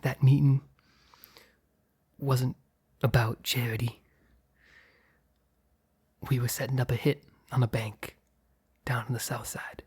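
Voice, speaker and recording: A man playing a mob boss boyfriend, blunt yet flustered, in an intimate ASMR roleplay with a breathy, halting delivery. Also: medium pitch, flat delivery, darkish tone. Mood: confessional, cold. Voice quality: breathy, husky, often near whisper. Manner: halting, sighing, blunt, swearing.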